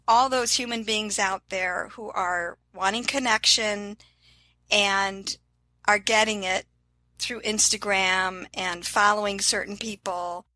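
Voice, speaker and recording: A woman's voice: medium pitch, sharp tone, no echo, rough recording. An older woman talking as an interview guest, upbeat and encouraging in a casual motivational tone.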